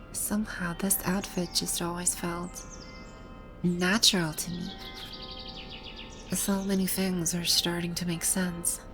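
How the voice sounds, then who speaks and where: A woman's voice: fairly low pitch, close to an everyday voice. A woman voicing a haughty, bossy video-game villainess who stammers and halts when flustered, in an ASMR roleplay. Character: haughty, flustered female video-game boss brought to life. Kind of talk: ASMR roleplay audio drama.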